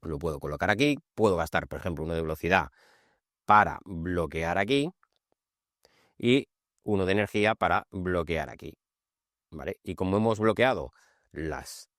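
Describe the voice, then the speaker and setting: A man's voice: deep voice, animated, normal speed, pauses often, a bit bright, no echo, studio quality. A man with a Spanish accent from Spain gives an informal board game tutorial, conversationally walking through rules and often checking that listeners follow. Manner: conversational, checking understanding with frequent short tags. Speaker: board game channel host.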